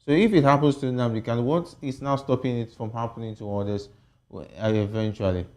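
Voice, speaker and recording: A man's voice: medium pitch, fairly even intonation, a little fast, a little mellow. A man moderating a political discussion as a television host. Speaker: TV talk show host.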